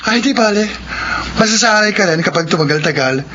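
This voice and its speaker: Casting: slick male talent agent, coaxing, hesitant pauses, radio drama, dull recording with clear noise. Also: high voice, lively intonation. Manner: smooth-talking, hesitant pauses, code-switching. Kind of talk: Filipino radio drama acting.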